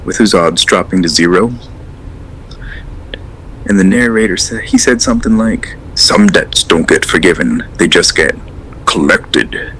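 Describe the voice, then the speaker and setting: A man's voice: low-pitched, a little slow, pauses often, sharp tone, slight background noise, rough recording. A man acting as a hesitant, rambling caller to a late radio show in an audio drama, earnest and unsettled. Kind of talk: audio drama, naturalistic phone-in call.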